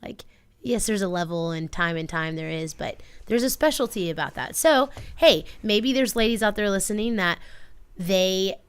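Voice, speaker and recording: A woman's voice: medium pitch, moderate pace, clean tone. A woman co-hosting a radio show in casual chat, telling stories in an earnest, rambling way. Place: community radio studio.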